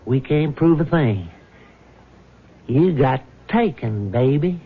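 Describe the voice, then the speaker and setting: Casting: a shrewd, controlling schemer, higher-voiced, in muffled vintage radio drama. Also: male voice, expressive, slow, breathy edge, full character voice. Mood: knowing, cool. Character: shrewd, controlling man uncovering a swindle. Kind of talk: 1970s radio drama acting. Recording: muffled narrow-band vintage radio recording.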